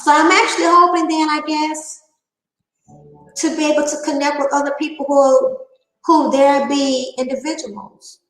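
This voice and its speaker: A woman's voice: high-pitched, fairly even intonation, normal speed, a bit bright, echoey room, faint music behind, low-quality recording. A woman nearing fifty giving a candid opinion monologue, emphatic and repeating her phrases for effect. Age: late 40s. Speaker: online panel talker.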